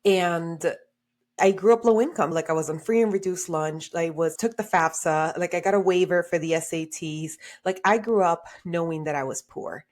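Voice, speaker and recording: A woman in her thirties, originally from Honduras, speaks as a podcast guest and career coach. She talks in an animated, conversational way with frequent "like" fillers. Her voice sits a little low. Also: moderate pace.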